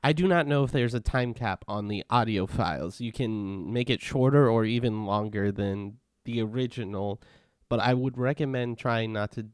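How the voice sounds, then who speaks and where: A man narrating a casual step-by-step software tutorial in a plain, instructional manner. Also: on the low side, clear, resonant tone, no echo, clean studio sound.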